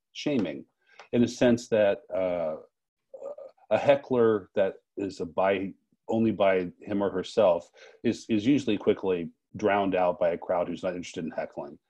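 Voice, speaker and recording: A man interviewing in a reflective, unscripted way, halting often as he searches for his words. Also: fairly low pitch, dark tone. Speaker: interviewer, festival co-director.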